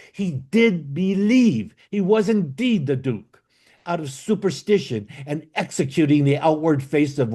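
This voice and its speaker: A man playing a bitter, commanding exiled duke and father in an amateur Shakespeare reading, with a declamatory, halting delivery. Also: medium pitch, mellow. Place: online video call.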